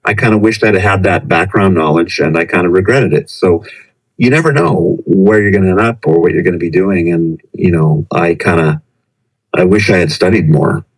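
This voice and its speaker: A middle-aged man with a very low, deep voice speaks as a guest on a podcast. He talks in a thoughtful, searching way, full of fillers and restarted phrases as he reflects on teaching. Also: moderate pace, low-quality recording. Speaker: professor, English teacher in Japan. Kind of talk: conversational podcast interview.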